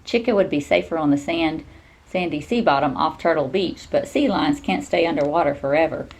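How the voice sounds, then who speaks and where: A woman reading a children's storybook aloud in an expressive, teacherly way. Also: low-pitched, a little fast.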